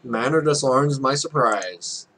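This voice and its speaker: Young man reading slowly, sweary let's player. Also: medium pitch, animated, a bit bright, low-quality recording. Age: young adult. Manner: irreverent, sweary. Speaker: let's play host. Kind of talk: let's play, reading game text aloud with casual asides.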